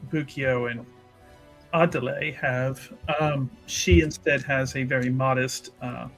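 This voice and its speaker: A male tabletop player narrates his character with wry, halting delivery. His pitch is medium and his pace very slow, over faint music. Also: steady tone, a bit bright, rough recording. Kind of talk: casual improvised narration of a character. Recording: music behind the voice.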